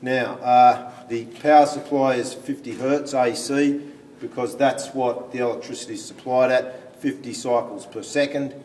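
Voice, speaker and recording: A man explains a physics demonstration step by step as a teacher, haltingly thinking aloud while he handles equipment. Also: mid-range voice, fairly even intonation, a little mellow. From Australia. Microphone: phone camera some way off. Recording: distant phone recording, background hiss.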